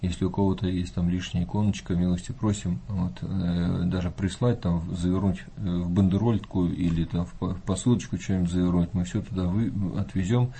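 A male Orthodox priest speaking in an unhurried, folksy way, with many fillers and hesitations. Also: low-pitched, fairly even intonation, vocal fry, mellow, husky. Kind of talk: calm talk show conversation.

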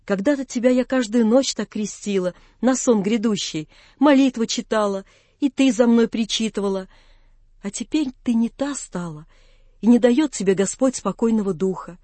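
A narrator reads a pious old mother in a literary audiobook. She is tender and sorrowful, gently lamenting, recorded dry and close. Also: mid-range voice, moderate pace, dry recording, lightly acted. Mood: sorrowful reproach.

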